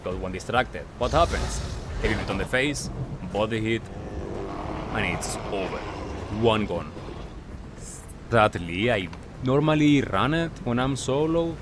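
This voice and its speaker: A man giving casual gameplay commentary as a recap, talking in short clipped phrases with pauses. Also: on the low side, expressive, background noise. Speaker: gaming video creator.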